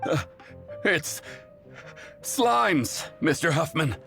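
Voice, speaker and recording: An actor playing an alarmed junior guard in an anime video game, urgent and hesitant, with a gravelly creak. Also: male voice, a little high, very animated, extremely slow, fairly bright tone, faint music behind, full character voice. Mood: nervous alarm. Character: panicked subordinate guard reporting to his officer.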